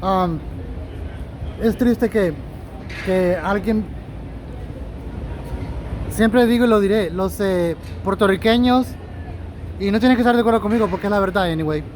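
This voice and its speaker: A man's voice: high voice, unhurried, pauses often, a little mellow, a little hiss or hum, low-quality recording. A man interviewing people at an outdoor street parade, speaking in an outspoken, emphatic way and calling out to the people around him. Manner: outspoken, emphatic, addresses the crowd.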